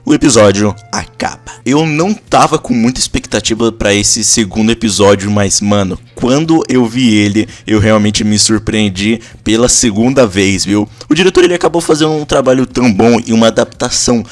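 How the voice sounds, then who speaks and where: A man's voice: fairly low pitch, moderate pace, few pauses, soft background music. A Brazilian man giving a casual, conversational anime episode recap and commentary in an informal way. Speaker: anime reviewer.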